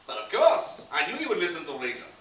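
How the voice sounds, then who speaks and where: A man's voice: high voice, wildly swooping pitch, fast talker, fairly bright tone, a little hiss or hum, full character voice. A man playing a middle-aged con man who fakes a French accent and blackmails with oily menace, recorded far from the mic in an echoey room. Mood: menacing. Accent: fake French.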